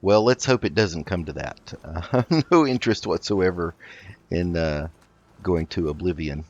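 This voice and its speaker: A man hosting a roleplay gaming video, improvising in character with a polite, halting delivery. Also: deep voice, darkish tone, highs cut off. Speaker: gaming host.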